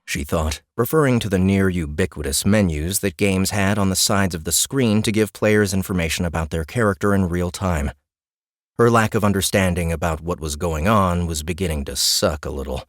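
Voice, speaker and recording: A man narrating a fantasy audiobook in a wry, expressive storytelling manner. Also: low-pitched, a little fast, dry recording, clean studio sound.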